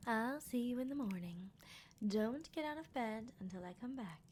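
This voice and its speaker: A woman voicing a possessive female vampire in an ASMR roleplay, breathy and often whispering, with a deliberate, teasing, commanding manner. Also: on the high side, animated, a little mellow, slight background noise, close to an everyday voice. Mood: soft threat. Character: predatory female vampire, possessive, coolly menacing. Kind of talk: ASMR roleplay, intimate monologue.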